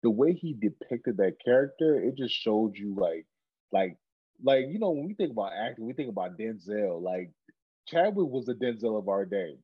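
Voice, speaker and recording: A man's voice: lowish voice, sing-song, moderate pace, slightly rough, no room sound, dull audio. A man from Cameroon co-hosting a podcast, talking earnestly in casual chat and often repeating words as he builds a point. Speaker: podcast co-host.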